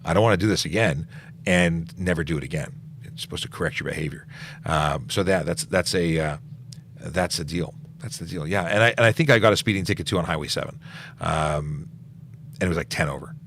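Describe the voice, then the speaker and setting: A man's voice: low voice, a little fast, a bit bright, slight background noise. A middle-aged man, a police officer, answering viewer questions on a casual livestream, stopping to restart his sentences and filling gaps with "uh" as he goes.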